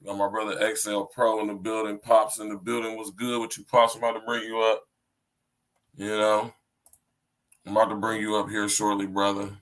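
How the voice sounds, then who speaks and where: A man's voice: fairly low pitch, completely flat delivery, moderate pace, a bit bright, poor audio. Man hosting a podcast with slang-heavy greetings. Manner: loose, hype greetings with street slang. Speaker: podcast host. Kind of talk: casual podcast intro.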